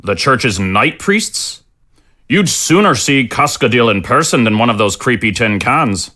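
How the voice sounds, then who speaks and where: A man's voice: mid-range voice, lively intonation, measured pace, pushed and bright. A man playing a proud, stubborn, blustery innkeeper with colloquial speech in a fantasy audio drama. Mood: grim. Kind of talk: scripted audio drama acting.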